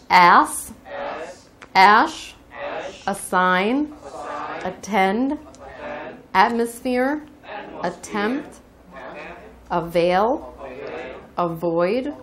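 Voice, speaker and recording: A woman reading a list of single English words one at a time, with pauses between them. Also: mid-range voice, fairly bright tone. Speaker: recorded word-list reader.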